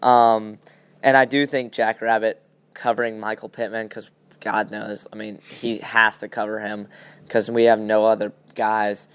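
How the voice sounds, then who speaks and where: A man's voice: fairly low pitch, level tone, unhurried, smooth, dry recording. A young man hosting a podcast, chatting casually and giving blunt opinions on sports. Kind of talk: casual sports chat, game predictions.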